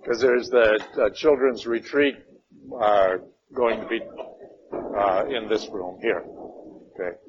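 A man teaches a Bible lesson in a church meeting room, sounding a little raspy from a cold. He talks slowly and conversationally, with a lot of "uh" and "all right." The recording is dull and lo-fi, with a faint hum underneath. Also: fairly low pitch, steady tone. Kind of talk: opening prayer then informal Bible lesson.

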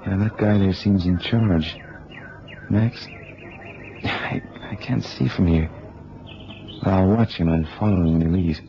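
An actor playing a restless, streetwise investigator in a radio drama, talking in a colloquial, muttering way and keen for action. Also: male voice, deep voice, fairly even intonation, unhurried, pauses often. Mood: watchful, wry. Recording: muffled, noisy old radio recording.

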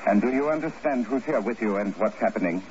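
An actor playing a calm, authoritative doctor and hypnotist with a clinical, commanding manner in a 1950s radio drama. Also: male voice, lowish voice, quick pace, natural voice. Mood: insistent, commanding. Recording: dull, noisy old radio recording.

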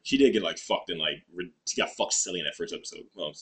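A man co-hosting a podcast, chatting crudely and loudly, often laughing at his own jokes. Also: low voice, expressive, fast talker, a little hiss or hum. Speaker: podcast co-host. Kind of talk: crude casual chat.

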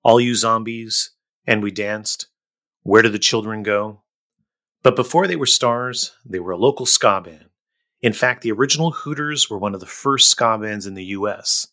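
A man's voice: fairly low pitch, bright, forceful tone. A man hosting a music podcast, introducing and interviewing guests. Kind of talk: scripted introduction and casual interviewing.

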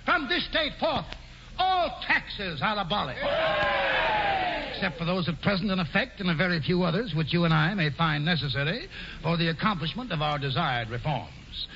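An actor playing a pompous, scheming colonial governor, grandiose and sly in 1940s radio acting, on a muffled old broadcast. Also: male voice, high-pitched, expressive, normal speed, crowd noise. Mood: oratorical. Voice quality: grandiose, mock-genial, sly. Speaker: governor Stuyvesant.

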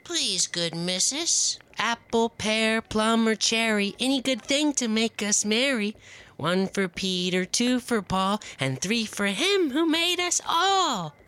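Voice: mid-range voice, animated, slow, bright, forceful tone, dry recording. A performer playing a mischievous boy in a snake costume, taunting and chanting eerily in a radio drama. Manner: taunting, chanting, drops into whispers.

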